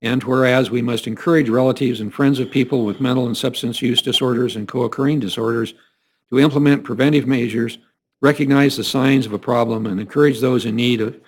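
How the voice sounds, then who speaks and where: Мужчина, член городского совета, размеренно зачитывает официальное воззвание голосом средней высоты. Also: male voice, mid-range voice. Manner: steady, measured reading with small slips. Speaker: city councilmember. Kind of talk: formal reading of a proclamation.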